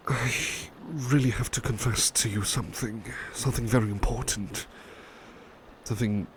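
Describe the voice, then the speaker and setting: Slow, gravelly, tender man acting a devoted butler. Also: medium pitch, noisy background, natural voice. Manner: soft-spoken, tender, hesitant, halting with repeated words. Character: devoted, gentle, humble male butler sweetheart. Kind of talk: ASMR roleplay, personal attention.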